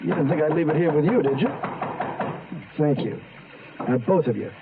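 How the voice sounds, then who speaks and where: A young killer played by a man in a radio thriller, menacing under mock courtesy. His fairly high voice is halting and very animated, heard through a thin, slightly noisy recording. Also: lightly acted. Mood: controlling, sinister. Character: menacing young male killer, falsely polite, unstable. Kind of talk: old-time radio drama acting. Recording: tinny radio recording with background noise.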